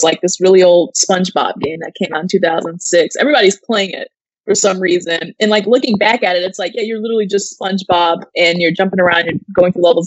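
A woman's voice: lowish voice, no echo, poor audio. A woman co-hosting an entertainment show, chatting casually about pop culture. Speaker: co-host of an entertainment news show.

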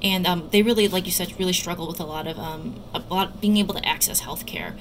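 A woman doctor and researcher giving careful, somewhat halting explanatory answers as an interview guest. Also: on the low side. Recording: loud background noise.

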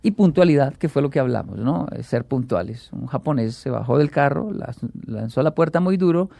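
A man, a talk show guest who tells animated stories and acts out the quotes. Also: mid-range voice, moderate pace, gravelly, dry recording. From Colombia. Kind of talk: casual chat.

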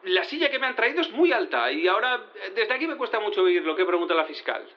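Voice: mid-range voice, very animated, fast talker, muffled recording, lightly acted. An actor of unclear sex in an audio drama playing a fussy, protesting cat lawyer in court. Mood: indignant.

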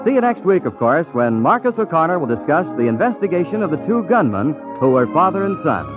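Animated higher-pitched vintage male radio announcer. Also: quick pace. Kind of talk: 1940s radio announcing, scripted. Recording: tinny 1940s radio recording, music.

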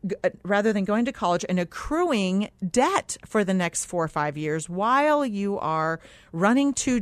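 A woman's voice: medium pitch, dry recording. A middle-aged woman from Lebanon speaking as a guest in a radio interview, explaining her views in an earnest, emphatic way with many asides. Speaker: political candidate, studio guest. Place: radio studio. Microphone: close studio microphone.

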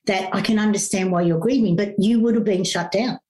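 A middle-aged woman speaks as a grief coach in an interview. She explains her ideas in an earnest, flowing, teacherly way, often restarting her sentences and filling pauses with small sounds. Her voice sits at an ordinary pitch for a woman. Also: dark tone. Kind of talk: conversational interview.

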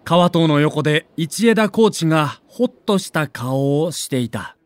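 A man narrating a scripted documentary in a dramatic, measured storytelling manner. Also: fairly high pitch, lively intonation.

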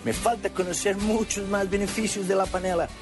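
A man's voice: high voice, fairly even intonation, fairly quick, music in the background. A man who is not a native Spanish speaker, a chef, speaking upbeat promotional lines in a radio ad. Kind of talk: radio advertisement.

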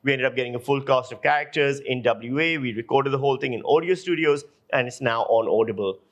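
A man's voice: medium pitch, fast talker. Emphatic man explaining from experience. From Pakistan. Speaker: guest lecturer and audio journalist. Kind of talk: explanatory interview talk with anecdotes.

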